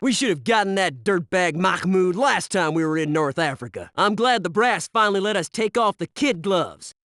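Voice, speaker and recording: A gung-ho American special forces soldier in a video game. His voice sits high and swings widely as he delivers clipped battlefield talk. He is brash and wry, eager to blow things up. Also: animated, normal speed, a bit bright, no room sound, studio quality, far from the mic. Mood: griping, gung-ho. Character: brash wisecracking American military commando, eager for demolition. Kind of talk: video game voice acting, tactical battlefield barks.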